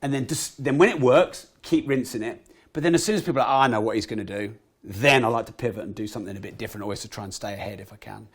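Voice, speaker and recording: A man in his thirties with a croaky voice, a podcast guest telling animated stories and swearing casually. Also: fairly low pitch, racing. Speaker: guest entrepreneur. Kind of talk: podcast interview storytelling.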